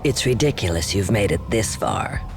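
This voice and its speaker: An actor playing a cold, scornful villain in a game, talking with contempt and threat. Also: male voice, on the low side, lively intonation, normal speed, music in the background. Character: scornful, cold villain mocking a doomed enemy.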